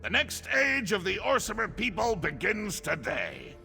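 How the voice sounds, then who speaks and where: An actor playing a proud, imperious orc king in a fantasy video game, grandiose and declamatory in manner, quick to take offence. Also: high voice, wildly swooping pitch, gravelly, pushed and bright, full character voice. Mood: jovial, proud. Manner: grandiose, declamatory, indignant when challenged. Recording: long hall reverb, faint music behind.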